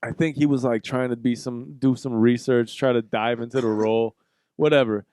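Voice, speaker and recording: Clear-voiced man bantering casually on a podcast. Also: medium pitch, steady tone, normal speed, mellow, no room sound, clean studio sound. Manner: playful, rambling, swears casually. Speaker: podcast co-host.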